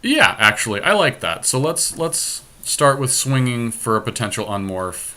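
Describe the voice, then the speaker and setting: A man's voice: medium pitch, very animated, normal speed, pushed and bright. A man chatting casually as a podcast host, thinking aloud through an online card game with his co-host.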